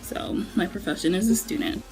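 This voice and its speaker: A young adult woman from Maryland, the secretary, speaking in a measured, earnest way. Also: medium pitch, poor audio. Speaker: fellowship secretary. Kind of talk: reading a statement and personal testimonial. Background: soft background music.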